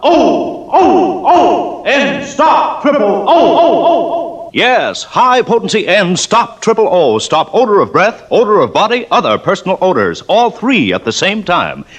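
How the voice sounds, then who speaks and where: A man's voice: high voice, very animated, unhurried, breathy edge, faint music behind. A man announcing a 1950s radio advertisement with a punchy, repetitive salesman's delivery. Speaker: commercial announcer. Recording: vintage radio broadcast recording.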